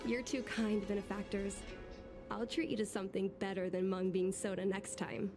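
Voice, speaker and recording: Woman playing an earnest, rueful teenage girl, slightly creaky. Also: medium pitch, flat delivery, moderate pace, lightly acted. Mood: grateful. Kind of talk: video game voice acting. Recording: game dialogue under loud music.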